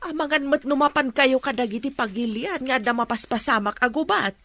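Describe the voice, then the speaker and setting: A woman's voice: high voice, brisk, a bit bright. A woman with an Ilocano accent playing a worried, loving mother of a grown son in a radio drama. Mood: worried, tender.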